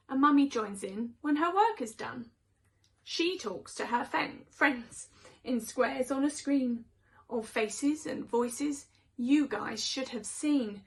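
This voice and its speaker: Opinionated house cat for rhyming storybook, played by a woman: high voice, conversational, gossipy, expressive. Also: full character voice. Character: chatty, opinionated house cats gossiping about their humans.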